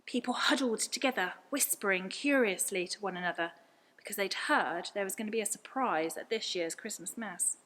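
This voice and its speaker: A woman narrates a story from a script, reading it aloud as a storyteller. Her voice has a bright, clear-cut tone suited to the telling. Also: mid-range voice, normal speed, slight background noise. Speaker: story reader.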